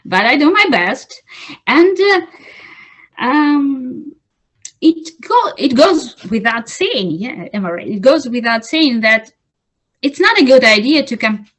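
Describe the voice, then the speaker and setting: A woman's voice: a little high, a bit bright. A woman from Russia with a Russian accent, answering interview questions as a club president, earnest and searching for words.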